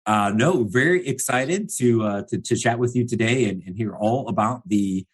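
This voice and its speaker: A man's voice: medium pitch, moderate pace, slightly rough. A man hosting a business podcast interview, chatty and casual, with many fillers and restarts. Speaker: podcast host.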